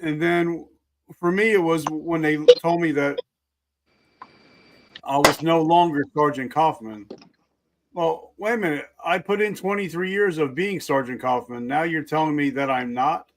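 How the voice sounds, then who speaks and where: A man's voice: fairly high pitch, measured pace, a little hiss or hum, rough recording. A middle-aged man, a former army sergeant, hosting an interview in a direct, conversational way. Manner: direct, calls guest brother. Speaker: podcast host, retired army sergeant.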